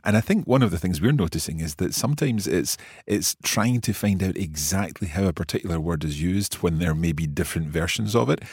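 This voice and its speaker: A man hosting a podcast as a French teacher, explaining a course in a measured, explanatory way and slipping between English and French. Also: deep voice, lively intonation, darkish tone, slightly rough, no echo, clean studio sound. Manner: measured, explanatory, with some restarts.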